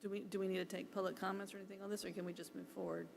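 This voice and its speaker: A woman's voice: medium pitch, level tone, racing, vocal fry. A woman informally moderating a meeting as the commission chair.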